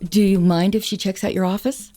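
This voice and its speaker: A woman's voice: medium pitch, steady tone, brisk, mellow, lightly acted. A woman playing a coolly polite, mysterious client in a noir detective radio drama, poised and coy.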